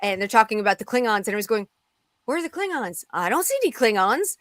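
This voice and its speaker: A woman's voice: medium pitch, sing-song, fast, a bit bright, dry recording. Woman chatting in a dry-sounding space. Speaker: table read participant. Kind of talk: casual fan chat.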